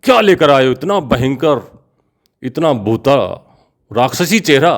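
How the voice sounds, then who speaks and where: A man voicing a frightened, demanding king who questions in urgent, high-pitched cries. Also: a little slow, a little mellow, natural voice. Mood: horrified.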